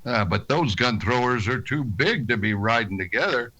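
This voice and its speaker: A man playing a steady, dry-humored Old West marshal in an old-time radio western, terse and deadpan. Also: medium pitch, moderate pace, muffled recording. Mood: wary, serious. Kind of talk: old-time radio western acting, with first-person narration.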